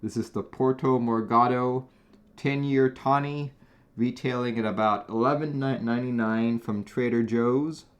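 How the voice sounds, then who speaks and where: A man from Taiwan hosting a casual live stream, talking in a chatty, relaxed way with frequent small restarts. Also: medium pitch, a little slow, muffled recording. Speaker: host.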